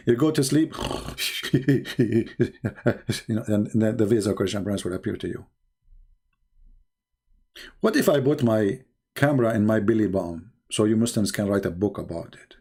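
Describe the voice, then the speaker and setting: A man's voice: medium pitch, dark tone, slightly rough. A man with an Arabic accent hosting a live debate stream, taunting and sarcastic, laughing at his own jokes. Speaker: livestream debate host. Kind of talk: mocking livestream debate.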